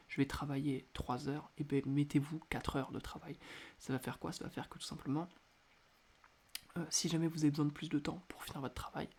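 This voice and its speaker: A man hosting a casual solo podcast, talking quietly at home with a stuffy nose from a cold, hesitating often with filler sounds. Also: fairly high pitch, a little hiss or hum. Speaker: podcast host. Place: indoors at home at night.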